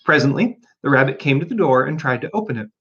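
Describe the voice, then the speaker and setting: A man reading a children's storybook aloud as an expressive audiobook narrator. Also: mid-range voice, fast, breathy edge, dull audio. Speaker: audiobook reader.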